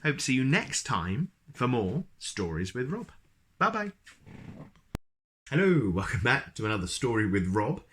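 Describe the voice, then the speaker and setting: A man reading children's storybooks aloud as host, expressive and speaking directly to young listeners. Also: mid-range voice, a little slow, slight background noise.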